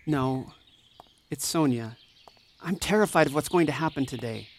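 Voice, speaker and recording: A woman's voice: sits low, animated, normal speed. A woman playing an empathetic, anxious mentor and counselor in a fiction podcast drama, sincere and self-doubting. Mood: distressed.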